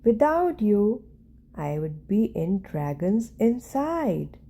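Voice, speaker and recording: A woman voicing a kind, warm-hearted witch in a children's storybook, given to exclaiming. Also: mid-range voice, sing-song, takes it slowly, gravelly, heavily acted. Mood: grateful.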